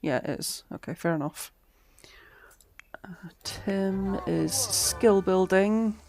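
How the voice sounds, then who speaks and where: A woman, chatting through a live-streamed game, a little hoarse and talking to her characters. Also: medium pitch, slow pace, over music. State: slightly hoarse, losing her voice. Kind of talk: live-stream gameplay commentary.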